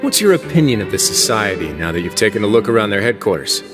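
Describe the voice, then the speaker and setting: A man voicing a composed, wry prison warden in a video game, speaking calmly and dryly. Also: fairly low pitch, over music. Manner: calm, dry and measured.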